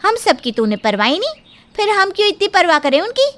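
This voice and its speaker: A woman's voice: extremely high pitch, sing-song, quick pace, a bit bright, pitched above the performer's own voice, heavily acted. A woman voicing a bold, impatient college-age sister-in-law in a cartoon moral story, complaining bluntly and defiantly.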